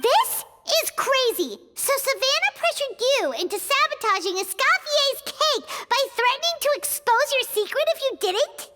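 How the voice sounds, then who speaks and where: A woman voicing a tiny, perky, childlike companion creature in a game, squeaky and excitable. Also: normal speed, hardly any pauses, very noisy. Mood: shocked. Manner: excitable, exclaiming. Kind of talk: English game voice acting.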